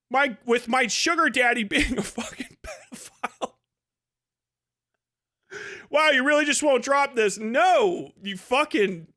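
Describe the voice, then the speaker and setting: High-pitched sardonic man, bright voice. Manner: sardonic, mocking. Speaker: streamer host reacting to clips. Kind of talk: casual profane commentary with acted-out quotes.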